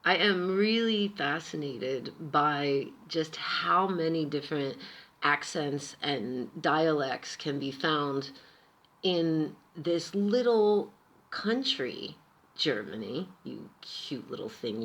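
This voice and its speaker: A woman's voice: deep voice, slow pace. An American woman from Pennsylvania, talking to camera in a chatty, emphatic vlog about her experiences abroad. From Pennsylvania, USA. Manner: chatty and emphatic, with lively asides. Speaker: vlogger. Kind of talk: casual storytelling monologue.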